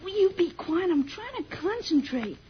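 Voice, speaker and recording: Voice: very high voice, moderate pace. A performer playing a bold, bossy and impatient young boy in an old-time radio space serial. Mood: irritated. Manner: bossy, excitable, bluffing confidence. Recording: muffled vintage radio recording, faint noise.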